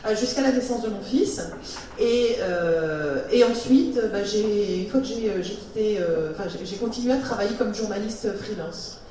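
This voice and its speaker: A woman's voice: medium pitch, normal speed, mellow, a little hiss or hum. A woman interpreting live between English and French, hesitant with many fillers. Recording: echoey hall, far from the mic.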